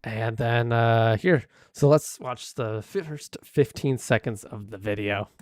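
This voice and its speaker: A hoarse man live-streaming, casually critiquing videos with many fillers and restarts. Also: medium pitch, slow, a little mellow, dry recording, clean studio sound.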